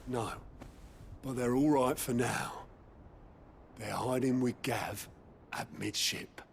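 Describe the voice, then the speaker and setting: An actor playing a gruff, plain-spoken lieutenant delivering reports in a video game. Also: male voice, medium pitch, steady tone, takes it slowly, slight background noise. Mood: sober, worried. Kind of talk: dramatic video game acting.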